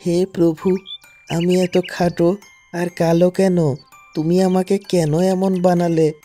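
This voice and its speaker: A woman's voice: on the low side, little pitch movement, takes it slowly, dark tone, faint music behind. A woman voicing a sad, mocked little cow in a children's cartoon, lamenting plaintively. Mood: sorrowful. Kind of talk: Bengali children's cartoon dubbing.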